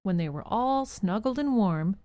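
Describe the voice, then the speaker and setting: A woman's voice: mid-range voice, animated, normal speed, warm tone, no echo. A woman narrator reading a scripted holiday story for a radio drama in a storytelling style. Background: music.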